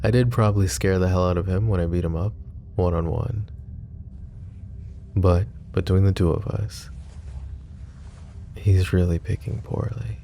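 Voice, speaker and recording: A man acting a composed, wry, protective mafia boss in an intimate roleplay audio drama, speaking in a measured, conversational way. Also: low voice, mellow, a little hiss or hum. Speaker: voice actor.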